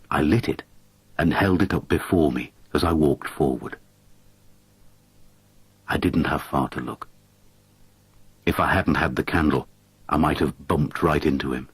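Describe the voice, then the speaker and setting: A man reading a haunting story aloud as scripted narration, measured and dramatic, sometimes dropping to a whisper. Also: rumbling low, breathy edge, dry recording. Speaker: audiobook narrator.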